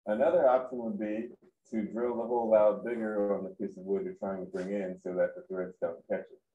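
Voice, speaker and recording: Male woodworker, low mellow voice, echoey workshop. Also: little pitch movement, a little fast, narrow, tinny audio. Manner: patient explanation. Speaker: woodworker explaining technique. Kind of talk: casual workshop demonstration. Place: woodworking shop indoors.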